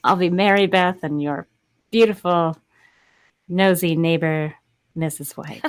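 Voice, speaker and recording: A woman's voice: lowish voice, steady tone, measured pace. A young adult woman chatting casually as a guest on a video-call panel. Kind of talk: casual chat.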